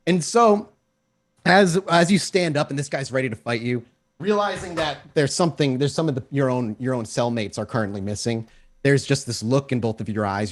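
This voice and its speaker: A man's voice of middling pitch leads a tabletop role-playing session. He narrates as game master, describing the scene in cinematic detail.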